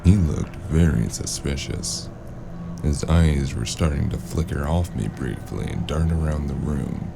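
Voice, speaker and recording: A man playing a wary amnesiac drifter in a noir audio drama, hesitant and wry, with a very deep, gravelly voice. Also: measured pace, noisy background, heavily acted. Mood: brooding. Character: wary amnesiac male drifter narrating his noir tale. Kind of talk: noir audio drama acting and first-person narration.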